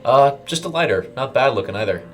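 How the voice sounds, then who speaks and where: A man's voice: mid-range voice, lively intonation, a little fast, a little hiss or hum, pitched above the performer's own voice, close to an everyday voice. Hesitant young rookie workman, acted by a man. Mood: casual. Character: fresh-faced, unsure young male rookie worker.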